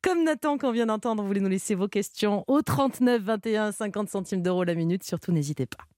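A woman hosting a radio health show, introducing callers and guests in a lively, conversational way. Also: mid-range voice, a little fast, no echo, clean studio sound, faint music behind. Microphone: studio mic.

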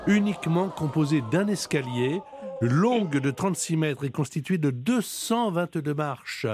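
A man hosting a chat and quiz show, speaking in a measured way and putting stress on each answer option. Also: on the high side, expressive, darkish tone. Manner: measured, plays up quiz options with rising emphasis. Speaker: radio quiz show host. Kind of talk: hosted interview and quiz.

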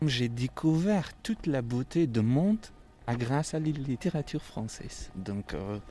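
Young man, French not his mother tongue, emotional and reflective, halting delivery. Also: mid-range voice, lively intonation, dark tone. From Afghanistan. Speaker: interviewee. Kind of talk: personal testimony.

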